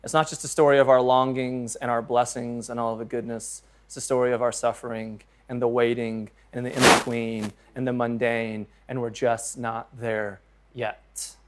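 A man's voice: mid-range voice, flat delivery, moderate pace, a little hiss or hum. A man preaching a sermon as pastor, earnest and conversational, reading scripture aloud and joking about his own slips.

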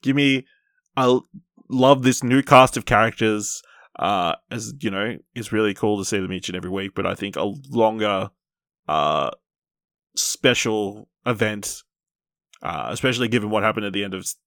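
A man with a fairly low, gravelly voice chats casually as a podcast host. He keeps things informal while steering the conversation, and the sound is dry with no room to it. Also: normal speed, a bit bright, no room sound.

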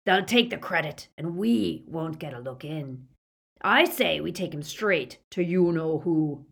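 A woman voicing a brutal, greedy male werewolf gang leader who growls, rasps and snarls his lines. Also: low voice, animated, unhurried, full character voice. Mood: growling. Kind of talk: audiobook character voice.

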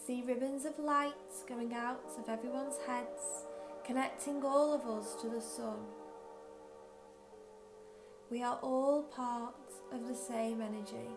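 A woman narrates a guided visualisation in a slightly high-pitched voice. She speaks very slowly and gently, leaving long pauses between phrases. Faint music plays behind her. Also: fairly even intonation. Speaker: guided meditation leader.

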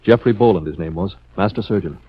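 Radio drama part: steadfast educated captive, low rough-edged voice, earnest, muffled vintage sound. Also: male voice. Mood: grave, composed. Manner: measured, earnest, explanatory. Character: calm, educated, self-sacrificing male captive. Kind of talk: 1940s radio acting. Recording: muffled 1940s radio recording.

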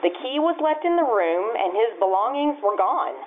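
Radio play innkeeper, chatty and obliging, highish female voice acted by a woman, thin telephone-like sound. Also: sing-song, moderate pace. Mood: confiding. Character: chatty, obliging small-town female hotel clerk. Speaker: amateur radio troupe actor. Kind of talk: old-time radio play acting. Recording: tinny telephone-like band, faint noise.

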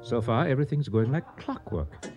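An actor playing a suave, pompous, flirtatious business partner in a radio comedy, speaking in a dryly formal way. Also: male voice, medium pitch, animated, normal speed, muffled recording, over music, close to an everyday voice. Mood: smug, suave. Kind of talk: 1980 radio theater comedy acting.

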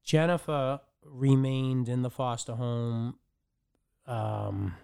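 A middle-aged man from the Dominican Republic tells his life story as a podcast guest. His voice is smooth and clean, and the recording is dry with no room sound. He pauses and restarts often as he works through his memories. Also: medium pitch, steady tone, warm tone, dry recording. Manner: halting, with frequent restarts and fillers. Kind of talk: personal storytelling.

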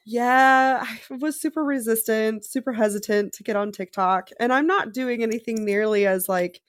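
Effusive, higher-pitched woman in casual chat. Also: moderate pace, smooth, dry recording, clean studio sound. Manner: effusive, slangy, sweary. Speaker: podcast guest, model. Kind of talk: casual podcast chat between friends.

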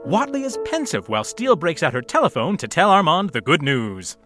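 Scripted narration for a noir detective audio drama. He tells it theatrically, with clear music playing under his voice. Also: on the high side, huge pitch swings, a little fast. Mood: wry, storytelling.

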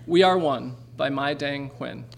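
A man's voice: medium pitch, a little slow, gravelly, very noisy. A man hosting a story show, reading a speaker's introduction on stage with a dry delivery and awkward asides. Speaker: event host introducing a speaker. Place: performing arts center stage.

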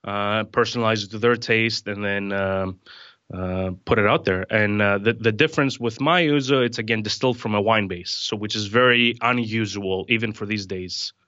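A man from Greece in his thirties, explaining things in a relaxed, rambling way with plenty of fillers. His voice is bright and forward, recorded dry and close with a slightly dull, muffled top end. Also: on the low side, moderate pace, no room sound. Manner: relaxed, many fillers and restarts. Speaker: distiller, podcast guest. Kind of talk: casual podcast conversation.

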